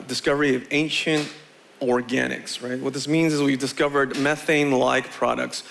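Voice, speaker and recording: A man's voice: mid-range voice, vocal fry, a bit bright. A man giving an informal live explanation and demonstration as an expert guest. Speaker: space agency expert, guest.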